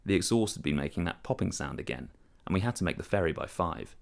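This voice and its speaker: A man's voice: deep voice, lively intonation, quick pace, creaky. A man reading a first-person literary short story as scripted narration.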